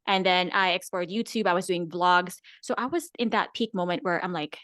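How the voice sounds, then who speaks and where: A woman's voice: lowish voice, brisk, a bit bright, dry recording, studio quality. A woman from the Philippines, a guest content creator chatting casually in an interview, filling her talk with "like" and "right?". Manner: chatty, many "like" and "right?" fillers.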